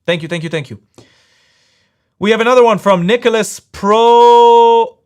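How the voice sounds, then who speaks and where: A man hosts a live stream, reading viewer messages aloud and arguing his side. His voice sits a little high for a man. He talks emphatically and circles back to hammer the same point again and again. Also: unhurried, a little mellow, clear, resonant tone. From Israel. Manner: emphatic, insistent, repeats points. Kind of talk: live stream chat and debate.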